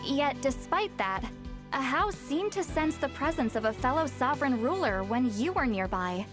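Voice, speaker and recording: A haughty young shaman, kinda high voice, wry and lecture-y, game music behind. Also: animated, moderate pace. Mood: explaining. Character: ancient-minded young shaman woman, proud and sharp-tongued. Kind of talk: video game dialogue. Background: game soundtrack music.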